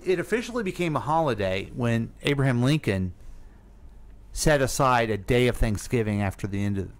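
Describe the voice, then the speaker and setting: A man's voice: mid-range voice, lively intonation, normal speed. An American man co-hosting a radio show in casual chat, with an informal, prompting manner. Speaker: radio co-host.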